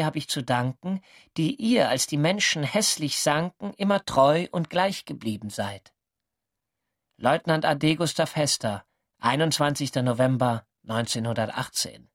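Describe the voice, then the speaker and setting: An actor voicing a melancholy poet who recites verse in a measured, lyrical radio-drama style. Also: male voice, fairly high pitch, fairly bright tone.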